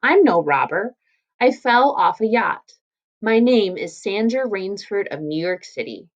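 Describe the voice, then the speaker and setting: A woman's voice: mid-range voice, very animated, unhurried, muffled recording. A woman reading a storybook part as a confident, reassuring male hunter who has been shipwrecked. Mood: cocky, reassuring. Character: cocky, self-assured male shipwrecked hunter, introducing himself calmly.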